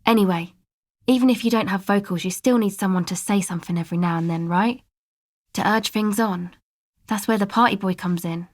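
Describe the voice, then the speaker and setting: A woman's voice: medium pitch, a little fast, dry recording, studio quality, lightly acted. An actress voicing a laid-back, guarded young woman from a dance music crowd, speaking casual, slangy video game dialogue with an offhand manner. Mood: explaining. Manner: offhand, slangy, hesitant asides.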